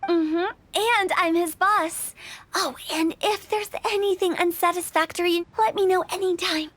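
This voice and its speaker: Girlish high-pitched young woman, playful host, gracious, teasing, game dialogue. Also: fairly even intonation, unhurried. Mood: proud, teasing. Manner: bright, chatty, gracious teasing. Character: bubbly, hospitable, mischievous young female funeral parlor boss. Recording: music behind the voice.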